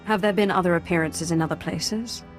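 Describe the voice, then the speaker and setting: An actress playing a cool, calculating Sith inquisitor in a video game, speaking in a measured manner. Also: female voice, fairly low pitch, faint music behind, natural voice.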